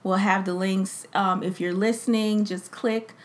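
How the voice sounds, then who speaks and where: A woman with a mid-range voice chatting on a couple's podcast. She is animated and agrees with her partner often. She closes the episode by warmly thanking the audience. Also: medium pitch, unhurried. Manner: animated, affirming. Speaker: podcast co-host, expecting mother. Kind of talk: casual chat.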